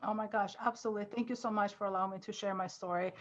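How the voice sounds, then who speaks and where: A woman's voice: mid-range voice, fairly even intonation, very fast, muffled recording. A woman from Armenia in her thirties, telling her story as a podcast guest in a chatty way, full of "like" and "you know". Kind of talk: casual interview storytelling.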